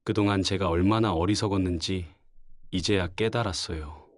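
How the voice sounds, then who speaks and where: A man's voice: low voice, steady tone, moderate pace, close to an everyday voice. A man playing a remorseful grown son who pleads politely with his mother in an audio drama.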